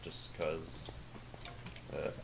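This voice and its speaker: A teenage boy with a deep voice that sounds older than his age, talking over his gameplay in a rambling, hesitant way full of fillers and self-corrections. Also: drawn-out words, in a whisper. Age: teens. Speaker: gaming channel host. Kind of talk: casual gameplay commentary.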